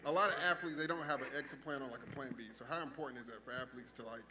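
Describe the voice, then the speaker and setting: A man's voice: medium pitch, a crowd in the background, poor audio. A man from the audience asking a question, casual and full of fillers. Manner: fast, casual, filler-heavy.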